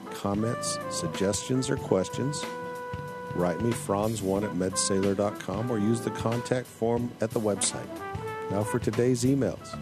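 A man hosting a casual podcast, talking in a relaxed, conversational way and reading listener emails aloud. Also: fairly low pitch. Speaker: podcast host. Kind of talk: casual solo podcast with ad read and listener mail.

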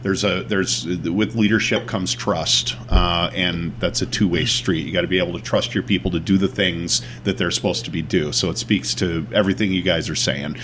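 A man co-hosting a casual podcast, reading listener questions with a joking, measured delivery. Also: deep voice, steady tone, fairly bright tone, slight background noise.

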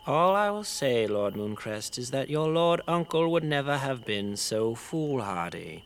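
A man's voice: mid-range voice, very animated, measured pace, clean tone. A man playing a proud, scolding noble lord in a fantasy audio drama. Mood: indignant. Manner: formal, haughty, scolding. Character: indignant, proud noble lord, stern and scornful.

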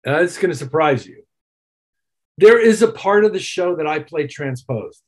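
A man's voice: on the high side, expressive, normal speed, darkish tone. An older man, a musician guest, talking casually in an interview with a wry, emphatic, opinionated manner. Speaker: interview guest, keyboard player and producer.

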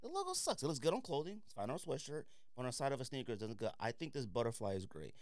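A man with a smooth, clear voice speaks in a dry, close-sounding space. He hosts a casual review podcast, giving firm opinions in relaxed slang and hammering points by repeating them. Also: mid-range voice, racing, no echo. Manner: opinionated, slangy, emphatic repetition. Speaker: podcast host. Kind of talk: casual sneaker review chat.

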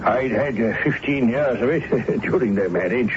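Actor as hesitant, deferential manservant, muffled radio sound. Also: male voice, mid-range voice, moderate pace, close to an everyday voice. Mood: nervous, deferential. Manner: hesitant, apologetic, formal and polite. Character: deferential, loyal, nervous male household servant. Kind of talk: radio drama acting. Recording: muffled old radio broadcast, faint noise.

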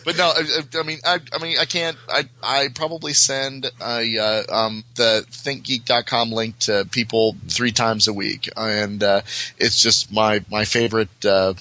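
A man talks casually as a guest on a podcast. His voice is bright and forward, with a very dry sound. He halts and doubles back on words as he searches for the next one. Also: mid-range voice, little pitch movement, no room sound. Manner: halting, repeats words while searching for them. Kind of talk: casual chat.

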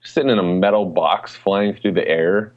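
A man with a very low voice talks like a podcast co-host among friends. His speech is slangy and emphatic, and the recording is slightly dull and lo-fi. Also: steady tone, moderate pace. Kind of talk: casual chat about gaming and travel.

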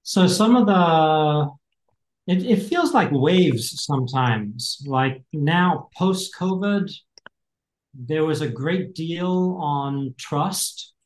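A man's voice: on the high side, animated, extremely slow. An American man in his fifties, an executive coach speaking as an interview guest in a reflective way, with many fillers and restarts. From United States. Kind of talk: casual interview talk. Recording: roomy echo.

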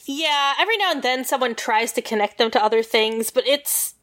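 A woman's voice: high-pitched, brisk, fairly bright tone, slight background noise. A woman in a casual podcast chat, explaining history with lots of fillers and rambling asides.

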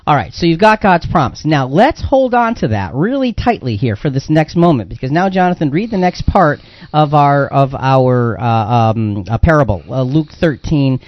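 Male radio host, slightly high voice, explanatory, repeats for emphasis, conversational, dull lo-fi sound. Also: very animated, normal speed, no echo. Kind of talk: conversational Bible discussion. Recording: dull, lo-fi broadcast audio.

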